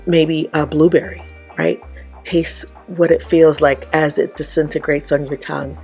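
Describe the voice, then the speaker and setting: A woman with a raspy voice giving casual motivational advice to her viewers, often checking in with "okay?" and "right?". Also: low-pitched, level tone, unhurried, darkish tone, faint music behind. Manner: chatty, frequent "okay?" and "right?" check-ins. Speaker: vlogger and life coach. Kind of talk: casual motivational talk to camera.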